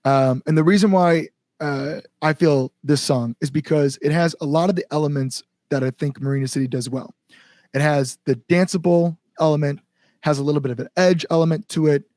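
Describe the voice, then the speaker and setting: A man's voice: on the high side, fairly even intonation, fairly quick, darkish tone, no echo, clean studio sound. A man speaking casually as an interview guest, earnest and rambling, repeating words for emphasis. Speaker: band frontman and songwriter, podcast guest. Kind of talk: casual podcast interview chat.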